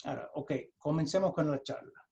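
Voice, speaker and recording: A man with an American accent, a native English speaker, giving an explanatory webinar presentation in his second language over a video call, halting and often restarting his sentences. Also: fairly high pitch, creaky, mellow, lo-fi sound. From United States. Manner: halting, with frequent restarts and repeated words.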